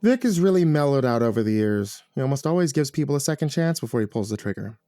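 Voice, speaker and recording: A man's voice: mid-range voice, very animated, quick pace, mellow, no room sound, studio quality, close to an everyday voice. A man voicing a brash, foul-mouthed tough woman in an audiobook, barking and sardonic, with scornful teasing. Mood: sardonic, reassuring. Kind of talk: audiobook character voice.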